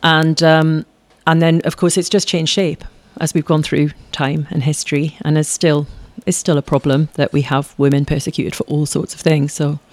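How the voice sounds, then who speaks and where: A woman's voice: low voice, level tone, moderate pace, few pauses, a little mellow, no echo. A woman performer being interviewed, talking in a chatty, rambling way, often adding tag questions and restarting her sentences. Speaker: guest performer. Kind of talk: conversational interview.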